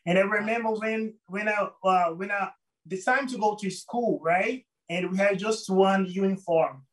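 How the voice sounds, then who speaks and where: Slow, halting man with a Brazilian accent. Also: high voice, recorded from a distance, low-quality recording. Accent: Brazilian Portuguese. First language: Portuguese. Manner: halting, searching for words, laughs often. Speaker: guest. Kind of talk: personal storytelling interview.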